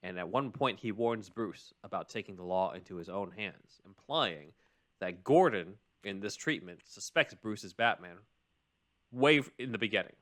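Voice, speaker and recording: Low-voiced man hosting a podcast. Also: animated, normal speed, a bit bright. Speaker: podcast host. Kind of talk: casual discussion and ad reads.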